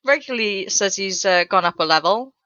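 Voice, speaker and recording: A woman's voice: medium pitch, expressive, a little fast, pushed and bright, no room sound, muffled recording. A woman in her thirties co-hosting a podcast, rambling and wry in casual chat. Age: 30s. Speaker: podcast co-host.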